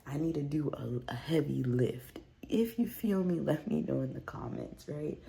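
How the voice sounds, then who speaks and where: A woman's voice: sits low, lively intonation, normal speed, creaky, warm tone. A woman in a casual home vlog, rambling and chatty with many fillers, a little congested from a cold, filmed on her phone. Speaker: vlogger. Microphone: phone camera.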